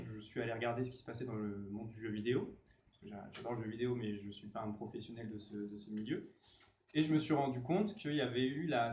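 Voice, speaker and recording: A man's voice: medium pitch, a little mellow, a little hiss or hum. Male labor lawyer explaining, echoey room. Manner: didactic, explaining with examples. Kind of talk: explanatory panel talk. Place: conference room. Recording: echoey room, dull mic.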